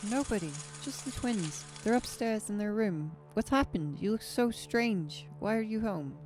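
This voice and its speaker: A woman's voice: medium pitch, lively intonation, unhurried, over music, lightly acted. An actress playing a worried, confused wife and mother in a horror audio drama, questioning and uneasy. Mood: puzzled, concerned.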